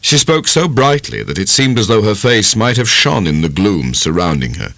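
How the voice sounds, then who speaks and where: A man giving an expressive, measured narration of a literary audiobook. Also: fairly low pitch, no room sound.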